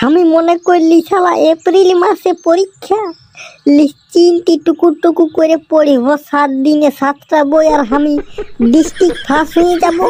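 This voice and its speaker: A performer puts on a high-pitched cartoon schoolboy from a village, with a Purulia accent. He is brash and easily panicked, arguing in exclamatory rustic slang in a comic style. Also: darkish tone, slight background noise, lightly acted. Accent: Purulia, West Bengal. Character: panicky, foul-mouthed teenage village schoolboy facing his exam.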